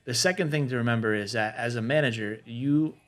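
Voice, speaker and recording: A man with a mid-pitched voice gives casual advice in a podcast discussion. He explains things conversationally, setting out his points one after another as he goes. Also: brisk, a bit bright. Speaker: podcast panelist giving restaurant management advice.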